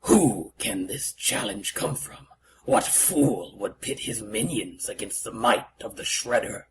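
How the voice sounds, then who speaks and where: A man playing a menacing, arrogant older martial arts master, speaking with pompous, theatrical slowness and a gravelly edge in a fan-made voice comic. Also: fairly low pitch, very animated, a bit bright, slight background noise, exaggerated voice. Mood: cold menace. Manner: slow, pompous, theatrical threats.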